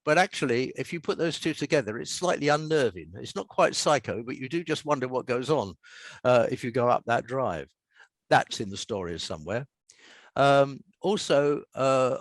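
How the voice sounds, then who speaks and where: An older man with an English accent, talking reflectively and reading aloud as an author in a measured way. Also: mid-range voice, no room sound. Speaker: author and radio scriptwriter. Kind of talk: relaxed talk and reading aloud from own story.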